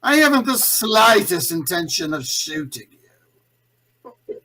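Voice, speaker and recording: A man playing a suave, pompous escaped-convict crime boss in a 1940s radio drama, drawling with mock courtesy in a husky voice. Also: sits high, big pitch swings, takes it slowly, sharp tone, a little hiss or hum, full character voice, poor audio. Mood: mocking, menacing. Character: suave, theatrical, gloating male escaped-convict villain and gang boss.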